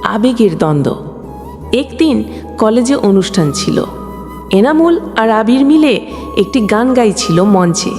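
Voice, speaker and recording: A woman's voice: a little high, sing-song, a little slow, long pauses, a little mellow. A woman reading a scripted emotional story as a storyteller, in a dramatic, sentimental delivery. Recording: long hall reverb, music bed. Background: background music.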